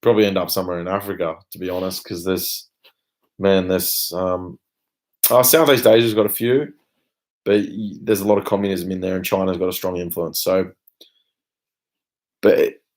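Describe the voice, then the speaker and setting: A man's voice: low voice, fairly even intonation, normal speed, pauses often, a little mellow. A young Australian man speaking off the cuff in a personal video rant, blunt and emphatic, with casual swearing and trailing asides. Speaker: vlogger. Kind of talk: unscripted personal rant to camera.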